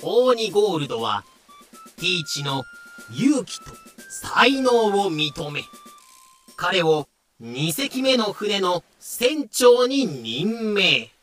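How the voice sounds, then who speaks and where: A man's voice: high-pitched, very animated, slow pace, fairly bright tone, soft background music. A man narrating an audio drama from a script in a dramatic storytelling style.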